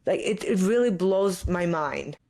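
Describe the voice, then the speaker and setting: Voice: medium pitch, animated, measured pace, a little mellow. Earnest Greek-accented podcast host ranting. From Greece. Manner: earnest, questioning, ranting with rhetorical questions and frequent "like". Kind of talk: casual solo confessional talk.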